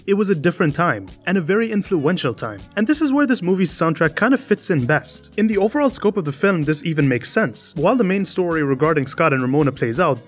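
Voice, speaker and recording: A man narrates a scripted video essay. His voice sits on the high side and moves up and down animatedly. Soft music plays behind him.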